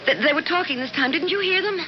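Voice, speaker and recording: A woman's voice: extremely high pitch, fast. An American woman acting an anxious, insistent wife haunted by strange voices in a 1970s radio drama. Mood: agitated, insistent. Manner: excitable, urgent, insistent. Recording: dull, noisy 1970s radio recording.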